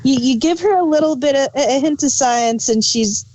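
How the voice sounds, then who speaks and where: A woman's voice: high-pitched, moderate pace, a little mellow, low-quality recording. A woman co-hosting a casual review, playfully acting out imagined bits of dialogue as she comments. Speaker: podcast co-host reviewing audio dramas.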